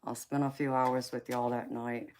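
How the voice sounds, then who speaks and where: Southern woman in her forties with a twang and a very deep voice, hosting a tarot livestream and calling viewers honey. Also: flat delivery, moderate pace, a little mellow, a little hiss or hum. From Georgia, US. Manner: affectionate, calls viewers baby and honey, laughs often. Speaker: tarot reader livestream host. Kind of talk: casual live chat reading comments.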